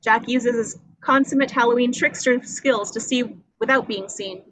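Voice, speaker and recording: Woman reading stage directions, kinda high voice, dramatic storyteller, bit of background noise. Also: normal speed, a bit bright, poor audio. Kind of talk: scripted narration at a table read.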